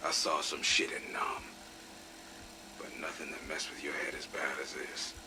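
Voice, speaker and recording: A man playing a grizzled, blunt veteran security chief in a game audio log, with a gravelly, breathy voice. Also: rumbling low, flat delivery, normal speed, background noise. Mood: blunt, defiant. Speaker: military security commander. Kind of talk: acted audio log briefing.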